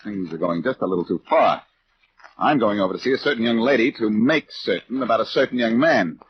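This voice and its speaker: An actor playing a breezy, self-assured, wisecracking detective in 1940s radio style. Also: male voice, on the low side, expressive, a little fast, natural voice. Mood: brisk, confident. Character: wisecracking confident amateur detective, adult man. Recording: muffled, noisy old radio recording.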